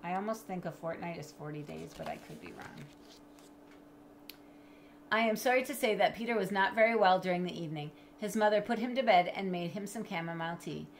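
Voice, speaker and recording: A middle-aged woman reading a children's storybook aloud in an expressive, measured way, as if to young listeners. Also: fairly low pitch, gravelly, a little hiss or hum, low-quality recording. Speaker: storybook reader. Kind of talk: children's read-aloud with chatty asides. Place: indoors in a home room with bookshelves.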